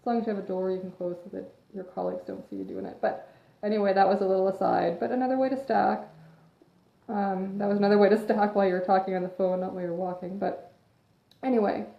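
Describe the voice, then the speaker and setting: A woman's voice: mid-range voice, fast. Chatty sniffly woman, echoey lo-fi livestream. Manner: chatty, rambling, goes off on tangents. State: sniffly from allergies. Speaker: fitness coach hosting a live video. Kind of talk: casual chat, advice monologue. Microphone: phone or webcam livestream. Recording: echoey room, dull lo-fi sound.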